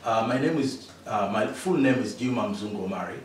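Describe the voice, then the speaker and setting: A middle-aged man from Tanzania speaks in a lowish voice, pausing often on fillers and restarting his sentences. He sits some way from the microphone in a very echoey room. A faint hiss runs under his voice. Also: animated. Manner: hesitant, many fillers and restarts. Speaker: interview guest, mining engineer. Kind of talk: casual interview answers. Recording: echoey room, distant mic, faint noise.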